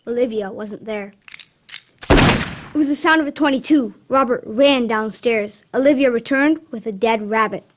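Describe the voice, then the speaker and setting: A woman's voice: on the high side, normal speed, slight background noise, close to an everyday voice. A young girl performing in a school radio drama, reading her script earnestly. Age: child, about 10.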